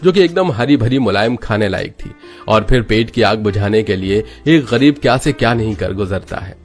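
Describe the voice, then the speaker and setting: A man's voice: fairly low pitch, expressive, normal speed, faint music behind. A man narrating a scripted, dramatic moral story as its storyteller.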